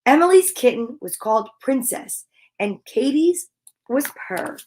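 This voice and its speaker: A woman's voice: high voice, lively intonation, a little slow, a little mellow, a little hiss or hum, poor audio. A woman hosting a live children's story time, reading aloud and chatting to viewers in an upbeat, gushing, encouraging way.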